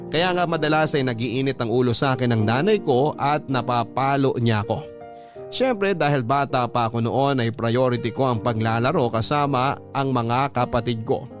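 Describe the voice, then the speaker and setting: A man with a Filipino accent reading a first-person letter as a radio story narrator, in a dramatic storytelling style. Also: medium pitch.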